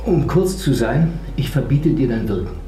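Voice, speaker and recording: A man's voice: mid-range voice, lively intonation, normal speed, warm tone, reverberant, a little hiss or hum, natural voice, poor audio. An actor playing a stern, status-minded statesman husband who speaks formally and reproachfully in a theatrical drama. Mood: cold reproach. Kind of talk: theatrical German stage drama dialogue.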